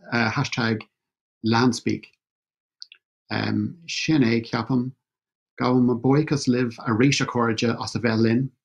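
A man, opening an online event over a video call, measured and halting with fillers. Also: mid-range voice, creaky, breathy edge. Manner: measured, with many fillers and restarts. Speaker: event host. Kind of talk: formal opening speech. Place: indoor room at home. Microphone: video call webcam.

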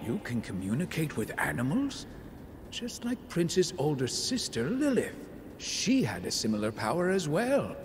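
A man voicing an elderly, devoted fiend retainer in a video game, theatrical, formal and deliberate. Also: on the high side, huge pitch swings, background noise. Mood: curious. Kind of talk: video game cutscene acting. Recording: long hall reverb, music underneath.

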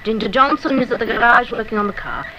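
An actress playing an efficient, deferential villain's secretary with a formal, dutiful manner, in 1960s radio thriller style. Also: female voice, a little high, very animated, fast talker. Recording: noisy damaged 1960s flexidisc recording.

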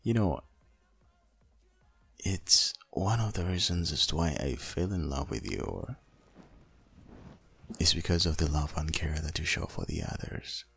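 A man playing a doting, protective, gently dominant boyfriend in an intimate ASMR roleplay, murmuring softly and coaxingly close to the microphone. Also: extremely low pitch, animated, a little slow, pauses often, soft background music, heavily acted. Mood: affectionate. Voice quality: husky, gravelly, breathy.

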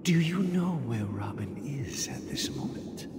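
A man voicing a grave, authoritative older mentor in a video game, speaking in a formal, deliberate way. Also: mid-range voice, big pitch swings, noisy background. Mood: grave, calm. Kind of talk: dramatic video game voice acting. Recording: long reverb, game music behind.

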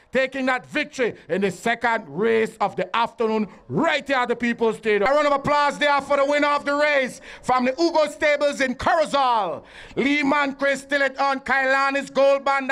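A man's voice: extremely high pitch, bright, forceful tone. A man calling live horse races at an outdoor track, repeating the horses' names as they run. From Belize. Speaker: race announcer. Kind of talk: live horse racing commentary. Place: outdoor racetrack.

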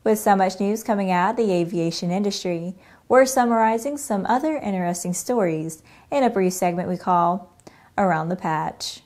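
A young woman reads scripted news as the show's anchor. Her delivery is steady and even, recorded cleanly in a studio on a clip-on mic. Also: mid-range voice, normal speed. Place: studio in front of a green screen.

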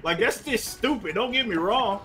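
A man's voice: high voice, animated, normal speed. A man ranting and swearing in casual chat while playing a racing game with friends. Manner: swearing, grumbling, exasperated ranting. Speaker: gaming streamer. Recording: loud game music behind.

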